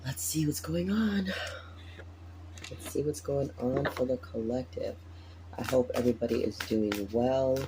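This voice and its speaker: A man with a raspy morning voice, tired, chatting casually as he lays out a tarot reading and repeats his phrases. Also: mid-range voice, unhurried, noisy background. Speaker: tarot reader.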